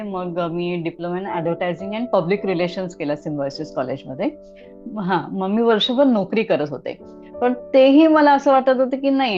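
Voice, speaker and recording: संथ बोलणारी मध्यमवयीन मराठी स्त्री. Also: female voice, mid-range voice, dull audio. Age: middle-aged. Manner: reflective, many hesitations, laughs softly. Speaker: puppeteer. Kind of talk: casual interview answers.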